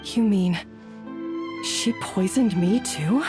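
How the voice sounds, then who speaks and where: A woman's voice: mid-range voice, slow, lightly acted. A woman playing an insecure, earnest young head chef in a video game, hesitant and full of self-doubt. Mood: shocked. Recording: music playing behind the voice.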